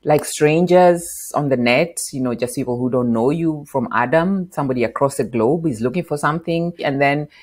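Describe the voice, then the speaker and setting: A middle-aged woman from Kenya, a podcast guest, explaining marketing in an animated interview on a lapel mic in a home office. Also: deep voice, moderate pace, hardly any pauses, husky. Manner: animated, gestures while explaining. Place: bright home office with bookshelf.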